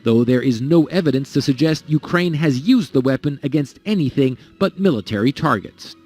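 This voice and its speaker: A male news correspondent reading a scripted report with measured, dramatic pauses. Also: mid-range voice, animated.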